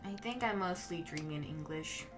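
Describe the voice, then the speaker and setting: A woman whose first language is Mandarin, chatting casually with viewers while she plays a game as a streamer, in a relaxed and musing way. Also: low-pitched, normal speed. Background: game music.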